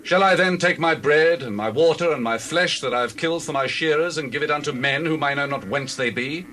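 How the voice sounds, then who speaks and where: An actor playing a churlish, wealthy sheep farmer in a dramatized scripture reading, speaking with scornful indignation. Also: male voice, on the high side, a little fast, pushed and bright, slight background noise. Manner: contemptuous, indignant.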